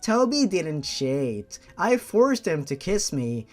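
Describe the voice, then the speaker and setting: A man's voice: fairly high pitch, big pitch swings, slow pace, faint music behind. A man voicing a remorseful young woman in love, speaking earnestly and sorrowfully in a story reading.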